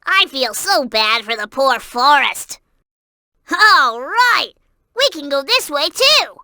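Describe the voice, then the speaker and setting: A woman voicing an excitable, cute young creature sidekick in a video game, childlike and wide-eyed, exclaiming and giving hints. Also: high-pitched, slow, dry recording, studio quality, full character voice. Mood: childish wonder.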